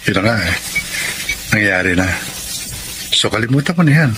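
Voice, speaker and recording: An actor playing a kind, earnest Filipino doctor in a radio drama, speaking tenderly and reassuringly. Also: male voice, fairly low pitch, expressive, moderate pace, noisy background, lightly acted. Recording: loud music under the voice.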